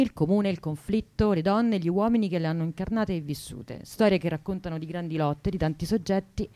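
Mellow-voiced woman announcing events on radio. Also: lowish voice. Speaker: radio show co-host.

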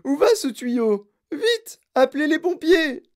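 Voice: high-pitched, very animated, unhurried, voice pushed up, heavily acted. A storyteller voicing an overbearing mother, indignant and given to shrieks and wails. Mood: panicked shrieking. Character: shrill, outraged, overbearing mother.

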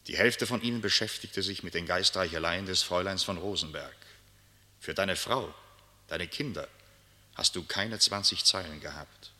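A brooding nobleman with a deep voice and diction. He is reserved and weighs his words with a troubled conscience, in the literary manner of a radio play. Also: moderate pace, sharp tone. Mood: grave, reproachful reading. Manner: reads a letter aloud with muttered asides. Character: aristocratic married gentleman, brooding, reserved, conscience-pricked by a letter. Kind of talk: German literary radio drama acting.

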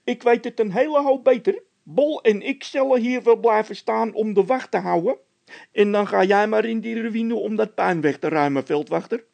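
A man's voice: sits high, normal speed, vocal fry, a little mellow, voice pushed up. A man voicing a timid, whining workman who refuses to budge, speaking emphatically in a storybook tale. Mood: stubborn fear. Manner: whiny, emphatic.

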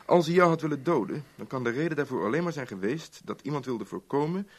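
Man playing an urbane, slightly husky police inspector. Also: mid-range voice, expressive, fast talker, natural voice. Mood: confiding, measured. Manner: breezy, teasing, evasive old-boy chattiness. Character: urbane, sly male Scotland Yard chief inspector. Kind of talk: Dutch radio play acting.

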